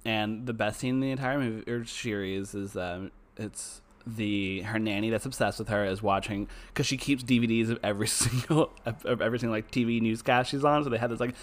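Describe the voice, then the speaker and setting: Low-voiced campy man chatting. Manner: campy, enthusiastic, quick asides. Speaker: podcast co-host. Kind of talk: casual chat.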